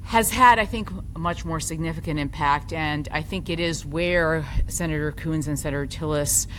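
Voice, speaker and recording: A loud-voiced woman lectures at a conference with a low-pitched voice and an articulate, lively delivery. She slips in self-deprecating asides, and faint noise sits under the recording. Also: moderate pace, low-quality recording. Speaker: law professor giving a talk.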